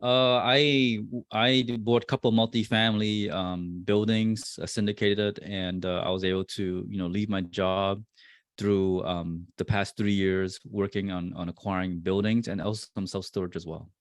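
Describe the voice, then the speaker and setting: Halting deep-voiced man, dry close mic. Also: level tone, no room sound. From born in Vietnam, raised in New Jersey. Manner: halting, many fillers and restarts. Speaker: guest, real estate investor. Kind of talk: casual interview answers.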